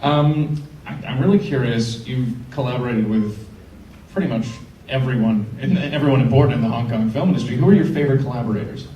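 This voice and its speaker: A man's voice: mid-range voice, a little fast, lo-fi sound. A man moderating a screening Q&A, chatty and joking with the guest. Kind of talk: live audience Q&A. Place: theater hall with audience. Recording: echoey hall from far off, noisy.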